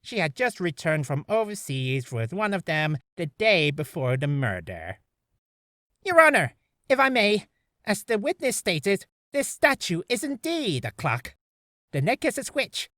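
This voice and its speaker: A woman's voice: lowish voice, sing-song, a little slow, a bit bright, no echo, studio quality. A woman voicing a stern, formal courtroom prosecutor from a video game, with pointed delivery. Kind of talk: video game character voice acting.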